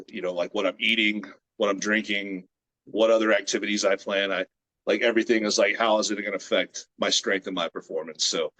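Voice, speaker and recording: Guest, male, late 30s, from the US, lowish voice, relaxed and meandering, lots of fillers. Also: poor audio. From United States. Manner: relaxed, rambling with many fillers. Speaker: guest, grip strength athlete. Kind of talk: casual interview chat. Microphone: video call.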